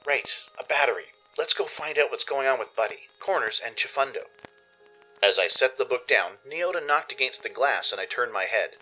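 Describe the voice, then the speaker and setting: A man reading scripted first-person story narration in an expressive, dramatic way, as the narrator of a radio play. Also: mid-range voice, poor audio.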